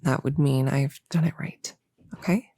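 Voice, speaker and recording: A woman acts out a young novice witch, eager but unsure, in an intimate audio roleplay. Her voice is mellow and softly creaky, with halting, reassuring phrases that often sink to a whisper. It is captured very close and dry. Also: extremely low pitch, steady tone, no room sound, exaggerated voice. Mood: earnest explaining. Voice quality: soft, often dropping into whisper. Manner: halting, soft-spoken, hesitant and reassuring. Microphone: close-up mic in a dry, quiet space.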